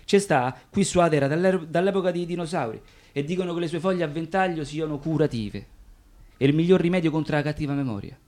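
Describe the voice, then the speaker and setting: A male actor as a working-class Roman storyteller in a radio play. His voice sits a little high. He speaks in colloquial dialect, wry and proud, with theatrical emphasis. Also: fast talker, darkish tone, lightly acted. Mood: wry, confiding.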